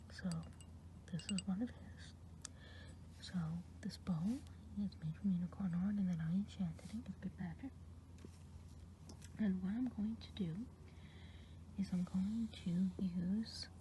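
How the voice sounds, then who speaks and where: A woman's voice: medium pitch, slight background noise, lightly acted. Woman playing a whispering good forest witch. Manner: soft unvoiced whisper, slow and meandering. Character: kind gentle good witch of the woods, woman. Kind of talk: ASMR roleplay.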